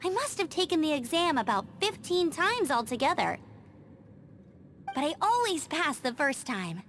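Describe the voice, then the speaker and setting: A woman's voice: high-pitched, sing-song, normal speed, fairly bright tone, a little hiss or hum, heavily acted. A woman voicing an upbeat, restless young scout in a video game, chirpy and chatty. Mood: sheepish. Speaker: game character. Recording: game audio with music behind.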